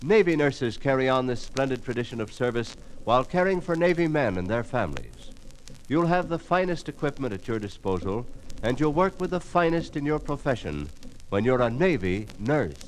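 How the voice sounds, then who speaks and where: A man's voice: mid-range voice, big pitch swings, normal speed, slight background noise, poor audio. A man with an American accent reading a script as a formal, showy announcer on a 1950s radio broadcast.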